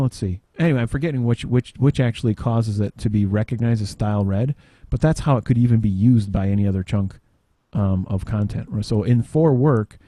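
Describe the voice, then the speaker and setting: A man giving an informal software demo, halting and tangential as he thinks aloud in casual talk. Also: on the low side, no room sound. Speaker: podcast panelist demonstrating software.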